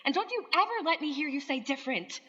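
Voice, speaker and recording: An actress playing a warm, loyal young stage actress in a radio play, earnest and sweetly emphatic. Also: female voice, sits high, expressive, quick pace, in a large hall, lo-fi sound. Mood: indignant, loyal.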